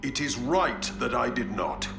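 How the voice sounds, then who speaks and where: Grave space marine commander, formal, echoey, loud music under. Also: male voice, mid-range voice, lively intonation, unhurried, vocal fry. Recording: echoey game audio with music.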